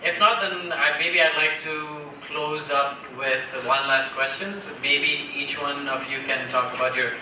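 A man moderates a panel, polite and a bit hesitant, his voice somewhat high. He sounds far from the mic in a big, echoing hall. A noisy hum sits under his speech. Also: flat delivery, measured pace, hardly any pauses. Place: large hall with audience.

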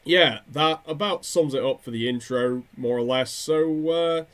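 Bright-voiced young man, rambling casually. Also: a little high, measured pace. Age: young adult. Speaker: video creator and amateur voice impressionist. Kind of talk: casual vlog talk to camera. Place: small bedroom indoors. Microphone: desk condenser microphone close in front.

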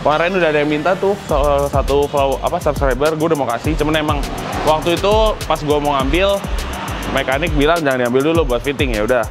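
High-pitched grumbling young man, music behind. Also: fast talker. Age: young adult. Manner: slangy, grumbling, exasperated. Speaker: motovlog host. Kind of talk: casual vlog chat. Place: open concrete garage forecourt by a workshop.

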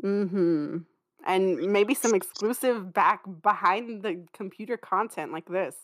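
A woman's voice: medium pitch, slow pace. A young woman co-hosting a podcast, chatting casually with a dry, self-deprecating, joking manner. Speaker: podcast co-creator and host. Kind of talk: casual banter and thank-you message.

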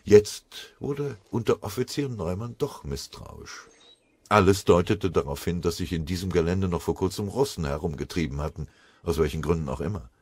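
A man reading a war story as an audiobook narrator in dramatic scripted narration, with a husky voice. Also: low-pitched, expressive, moderate pace, darkish tone, no echo.

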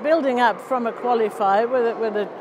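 Older Swedish-born woman, halting speech. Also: fairly high pitch, dull audio, background noise. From Sweden. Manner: hesitant, halting with restarts. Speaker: event organiser. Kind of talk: interview. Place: large indoor exhibition hall.

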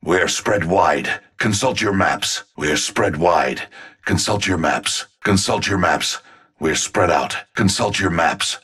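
Stern male combatant for a video game: husky, forceful, terse commands. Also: low voice, slow, exaggerated voice.

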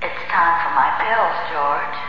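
An actress playing a dead wife's insistent, haunting ghost in a 1970s radio drama. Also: female voice, fairly low pitch, dull audio, a little hiss or hum. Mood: eerie, insistent. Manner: slow, haunting repetition of requests. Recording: echoing reverb on old band-limited radio.

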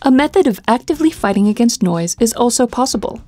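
A woman reading scripted documentary narration in a clear, measured way. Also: a little high, animated, darkish tone, no room sound. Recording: studio voice-over with music behind. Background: music.

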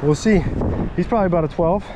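A man talking casually to viewers in an excitable, folksy, slangy way, like a fishing vlogger, recorded outdoors on an action camera. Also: high-pitched, quick pace, lo-fi sound. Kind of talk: casual fishing vlog commentary. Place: outdoors on an open sea beach. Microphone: body-worn action camera. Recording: windy beach, surf and wind noise. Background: wind and breaking waves.

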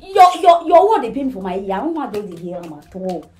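A woman's voice: medium pitch, very animated, brisk, warm tone, faint music behind, close to an everyday voice. An actress with a Nigerian Pidgin accent playing a blunt, hot-tempered young woman friend. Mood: indignant. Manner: blunt, dramatic.

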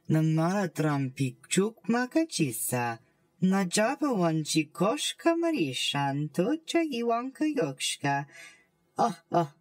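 Low-pitched alien bounty hunter played by a woman, menacing, unhurried invented gibberish, audio drama. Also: no room sound. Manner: babbling invented syllables, needling. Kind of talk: amateur dramatic reading.

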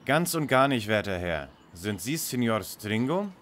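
An actor playing a polite, precise young lead detective in a parody detective radio play. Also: male voice, fairly low pitch, big pitch swings, a little slow, a bit bright. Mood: courteous. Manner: formal, courteous, precise.

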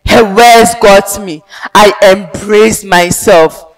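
A young woman with a Ghanaian accent performing a theatrical advocacy monologue on a large indoor stage, emphatic and declamatory with sudden loud swells. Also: mid-range voice, slow, soft background music, low-quality recording. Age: young adult. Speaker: pageant contestant.

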